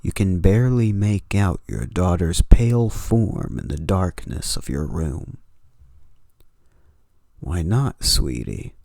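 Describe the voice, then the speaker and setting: A man's voice: low-pitched, sing-song, a little slow, creaky, hardly any pauses, mellow, no echo, studio quality. A man hosting and narrating horror stories in a dramatic reading style. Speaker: host and narrator.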